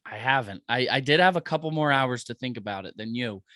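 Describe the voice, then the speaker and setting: A man co-hosting a podcast in casual chat, animated and saying "bro" and "dude" a lot. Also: medium pitch, brisk, dry recording, clean studio sound. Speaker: podcast co-host.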